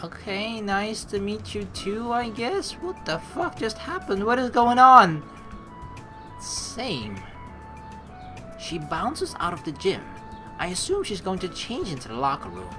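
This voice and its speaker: A man's voice: sits high, huge pitch swings, a little slow, music in the background. A man hosting a let's play, reading story text aloud and adding casual, rambling, slangy commentary with some swearing. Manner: rambling, slangy, exasperated asides, profane.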